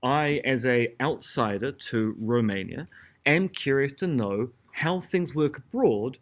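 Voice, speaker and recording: A man with a New Zealand accent delivers an academic talk in a measured, explanatory way, with a dry, understated humor. His pitch sits in an ordinary middle range for a man, and he keeps a steady lecturing manner, leaning on "so" and "uh" as he builds his points. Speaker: philosopher giving a talk.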